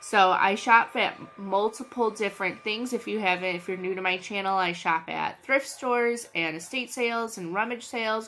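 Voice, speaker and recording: A woman's voice: mid-range voice, a bit bright. A woman with a cold chatting casually to her channel's viewers, scattered and full of ums and restarts. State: has a cold. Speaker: channel host, reseller. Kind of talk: casual vlog chat.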